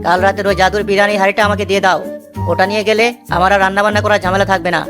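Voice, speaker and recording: A woman's voice: mid-range voice, little pitch movement, racing, natural voice. A woman voicing a lazy, cheeky, good-hearted young village man in a children's cartoon, breezy and boastful. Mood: smug. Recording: loud music under the voice. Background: loud music.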